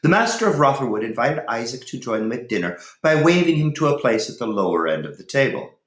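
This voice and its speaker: A man's voice: mid-range voice, very animated, fast talker, fairly bright tone. A man reading a story aloud in a dramatic narrating style. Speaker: reader of a storybook. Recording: roomy, echoey room.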